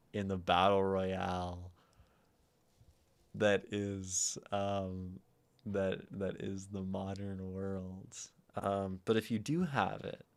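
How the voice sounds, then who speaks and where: A man with a naturally low voice, hosting a livestream and musing softly and aimlessly with many fillers. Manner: soft, meandering, lots of fillers; prefers talking quietly and a little higher. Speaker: livestream host. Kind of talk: casual livestream chat.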